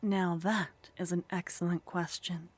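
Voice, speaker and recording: A woman playing a teasing, condescending female vampire in a soft ASMR roleplay, languid and haughty, often slipping into whispers. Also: fairly low pitch, little pitch movement, unhurried, slightly rough. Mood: coolly explaining. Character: teasing, condescending female vampire, sly, centuries-worldly contact.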